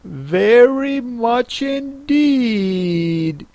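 A woman voicing a timid, polite little girl from a storybook, soft and hesitant. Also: fairly high pitch, animated, extremely slow, dry recording, voice pushed up, heavily acted.

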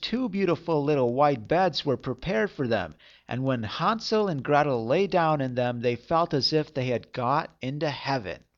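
A man's voice: fairly high pitch, muffled recording. A man narrating a fairy tale as a storyteller, reading expressively.

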